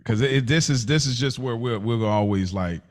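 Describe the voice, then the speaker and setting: An American man co-hosting a podcast, arguing casually with an exasperated, pleading manner, halting and repeating himself. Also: mid-range voice. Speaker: podcast co-host. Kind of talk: heated casual debate.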